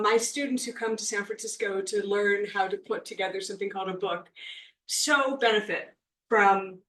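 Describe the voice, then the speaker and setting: A middle-aged woman with a loud voice opens a live interview, joking about herself and laughing easily. She speaks into a handheld mic in an echoey event room. The mic catches her from some distance. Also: mid-range voice. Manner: lively and self-deprecating, laughs often. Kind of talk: onstage introduction and interview. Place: event room at a book club.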